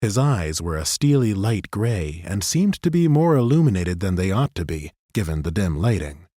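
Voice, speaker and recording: A male narrator reading a fantasy audiobook in a steady, even storytelling style. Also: low voice, normal speed, no room sound, clean studio sound.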